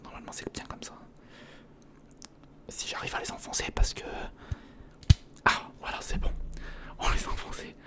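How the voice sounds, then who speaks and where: Guy whispering asmr build commentary, breathy, kinda hesitant and chatty, right up on the mic. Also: medium pitch. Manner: hesitant, informal, muttering to the listener. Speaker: ASMR video host. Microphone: close recorder microphone.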